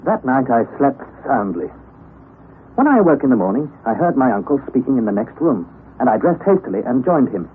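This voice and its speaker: An actor playing an earnest young nephew, narrating an old-time radio drama in a measured, precise, emphatic way. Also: male voice, medium pitch, very animated, close to an everyday voice. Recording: noisy, tinny vintage radio recording.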